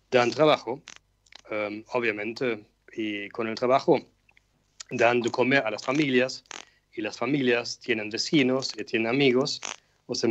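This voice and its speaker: German-accented man, careful measured speech. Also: medium pitch. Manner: careful, with frequent "em" pauses. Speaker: guest, regional director. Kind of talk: radio interview answers. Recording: slightly dull remote line with faint noise.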